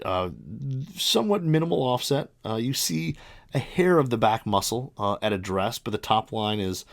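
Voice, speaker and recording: A man's voice: on the low side, no room sound, studio quality. A man in his early forties hosting a podcast, talking casually and rambling through his equipment with many fillers and restarts. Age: 40s. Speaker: podcast host reviewing golf clubs. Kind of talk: casual monologue.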